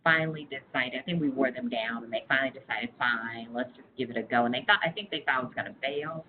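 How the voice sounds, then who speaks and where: Very deep-voiced woman on a muffled phone call. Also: fast, a little hiss or hum. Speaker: interviewee, community engagement program staffer. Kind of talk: casual research interview, conversational. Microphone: phone line.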